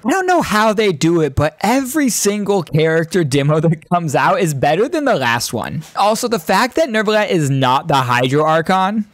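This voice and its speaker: A man's voice: high-pitched, sing-song, moderate pace, hardly any pauses, no echo. A man in his thirties giving enthusiastic, casual reaction commentary as a video host, close on a desk microphone. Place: small indoor streaming room. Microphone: close desk microphone on boom arm.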